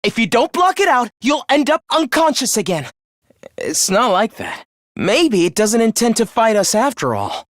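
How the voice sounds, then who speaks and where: A man's voice: very high voice, wildly swooping pitch, normal speed, fairly bright tone, no room sound, studio quality, full character voice. A man playing a young student in a video game, earnest on the surface and a cold, misanthropic killer beneath, theatrical and articulate. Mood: earnest, concerned. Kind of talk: dramatic anime-style video game voice acting.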